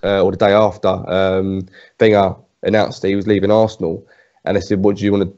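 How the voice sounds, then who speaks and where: A man's voice: sits low, flat delivery, brisk, a little mellow, highs cut off. A man speaking as a fan panelist in a casual football discussion, blunt and pessimistic.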